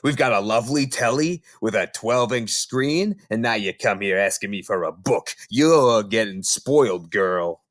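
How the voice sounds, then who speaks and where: A man's voice: lowish voice, expressive, a little slow, few pauses. A man voicing a dismissive, irritated father who scorns books.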